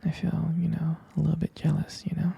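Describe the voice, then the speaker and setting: A man playing a jealous, devoted boyfriend in an ASMR roleplay, speaking intimately and haltingly in a breathy, husky whisper. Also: fairly high pitch, completely flat delivery, warm tone, muffled recording, exaggerated voice. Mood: uneasy, jealous. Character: jealous, possessive, devoted boyfriend soothing his sweetheart.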